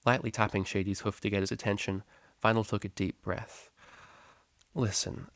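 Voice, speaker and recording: A man's voice: lowish voice, level tone, brisk, no echo. A man reading fiction aloud as a scripted story narration. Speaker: story reader.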